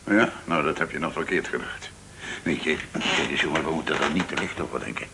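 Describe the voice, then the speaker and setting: An actor playing a disgruntled, status-anxious senior office man in a radio play, blustering and halting as he speaks. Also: extremely low pitch, very noisy. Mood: indignant grumbling.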